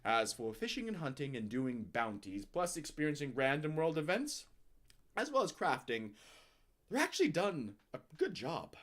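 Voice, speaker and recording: Theatrical man, high-pitched, with sweeping intonation. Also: big pitch swings, moderate pace, fairly bright tone. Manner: theatrical, grandiose, emphatic delivery with dramatic swells and drops. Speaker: narrator reading out a user review. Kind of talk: dramatic reading of scripted text.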